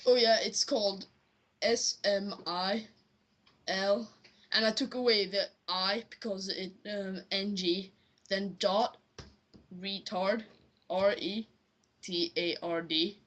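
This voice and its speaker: A woman playing a hapless, clueless customer on an improvised prank call, talking in halting, rambling stammers. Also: mid-range voice, fairly even intonation, fairly bright tone, poor audio. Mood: earnest, pleading. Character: hapless, clueless gamer customer pleading for help. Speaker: prank caller.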